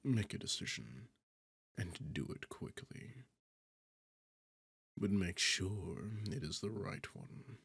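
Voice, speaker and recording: A man voicing an arrogant, seductive demon king in an ASMR roleplay, taunting the listener in a condescending, theatrical manner with a husky, gravelly voice. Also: low-pitched, lively intonation, fairly bright tone, dry recording, exaggerated voice. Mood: menacing, seductive. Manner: taunting, condescending, theatrical, slow deliberate phrasing.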